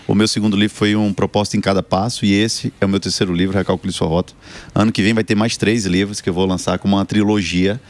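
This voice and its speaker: A man's voice: on the low side, little pitch movement, quick pace. A man in his forties from Brazil, a guest author talking earnestly about his book and life, often ending with "né?". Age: 40s. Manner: earnest, motivational, many "né?" tags. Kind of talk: casual talk show chat.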